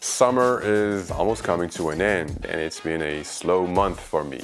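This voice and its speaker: Male vlogger, low voice, presenting gear reviews over background music. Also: normal speed. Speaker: video creator and reviewer. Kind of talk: product review vlog narration to viewers.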